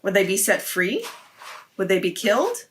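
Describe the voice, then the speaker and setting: Lady reading a kids story out loud, medium pitched voice, hosting it. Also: huge pitch swings, moderate pace, slight background noise. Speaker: read-aloud host. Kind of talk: children's story read-aloud.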